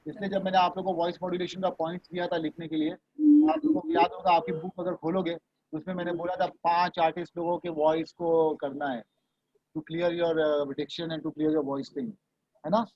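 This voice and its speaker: High-voiced man coaching over a video call. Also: flat delivery, normal speed, no echo. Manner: casual, mixes Hindi and English, coaxing and reassuring. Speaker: voice and dubbing trainer. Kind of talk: online class coaching. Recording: dull, lo-fi video call audio.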